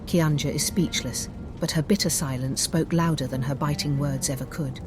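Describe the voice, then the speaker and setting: Woman narrating a dark fantasy story. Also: low-pitched, fairly even intonation, moderate pace, music in the background, low-quality recording. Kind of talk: scripted dramatic story narration.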